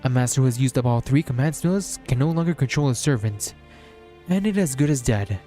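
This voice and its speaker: Mellow man reading a visual novel aloud. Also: mid-range voice, lively intonation, fairly quick, soft background music.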